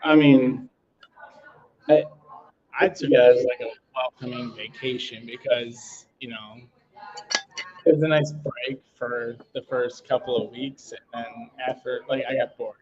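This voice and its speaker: A man in his thirties, a chef guest, talking casually and relaxed with many fillers. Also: medium pitch, a little slow. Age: 30s. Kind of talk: casual interview chat. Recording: muffled lo-fi audio.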